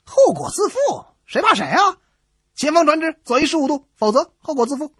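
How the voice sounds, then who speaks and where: A man's voice: very high voice, big pitch swings, brisk, a bit bright, no room sound, clean studio sound, voice pushed up, full character voice. A man voicing a defiant, indignant lighthouse signaller in a blustering, incredulous manner for a radio comedy sketch.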